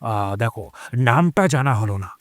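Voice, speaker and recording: An actor playing a chatty, concerned, insistent older gentleman in an audio story. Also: medium pitch, unhurried, no echo, natural voice. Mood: friendly, curious. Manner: expressive, exclaiming, familiar. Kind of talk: audio drama acting.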